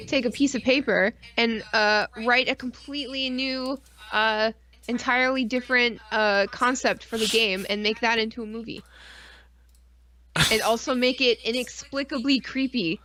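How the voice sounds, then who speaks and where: Woman, podcast co-host, higher-pitched, bright forceful voice, casual chat, rambling, profane. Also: unhurried, slight background noise. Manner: profane, rambling, animated storytelling with acted-out asides.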